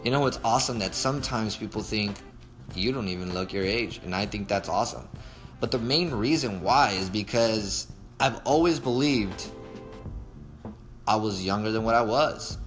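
Emphatic low-voiced man in his thirties. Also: moderate pace, soft background music. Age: 30s. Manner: emphatic, repeats himself, casual slang. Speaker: vlogger. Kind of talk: motivational talk to camera.

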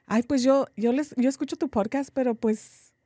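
A woman's voice: sits high, moderate pace, dark tone, no echo, clean studio sound. Blunt slangy woman with a Tijuana accent. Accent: Tijuana Mexican Spanish. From Tijuana, Mexico. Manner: blunt, slangy, laughs often. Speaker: podcast co-host. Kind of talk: casual chat.

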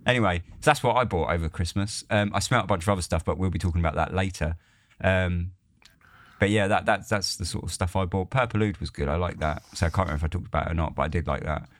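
A young man chats casually on a podcast, his low voice bouncing between flat stretches and sudden lively jumps. He repeats words as he gets going and swears freely. The sound is close and dry, with little room behind it. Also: fast, no room sound. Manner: animated, swearing, repeats words when starting sentences. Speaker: podcast co-host. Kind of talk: casual chat.

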